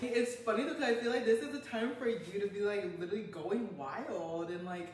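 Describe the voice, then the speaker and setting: A woman's voice: mid-range voice, a little fast. Slangy young woman in an echoey room. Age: 20s. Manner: slangy, laughing, frequent "like" fillers. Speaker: podcast co-host. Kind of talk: casual chat. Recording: echoey room, mic at a distance, faint noise.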